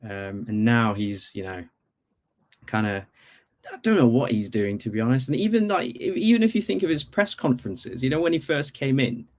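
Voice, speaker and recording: A man's voice: medium pitch, a little mellow. A man from Bangladesh calling in to a podcast as a football fan, talking casually with many conversational asides. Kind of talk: casual sports chat.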